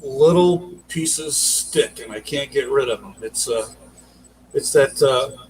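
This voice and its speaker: A man's voice: mid-range voice, slow pace, low-quality recording. An older man chatting casually as a podcast guest on a video call, modest and hesitant, sounding away from the mic with faint noise. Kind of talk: casual chat.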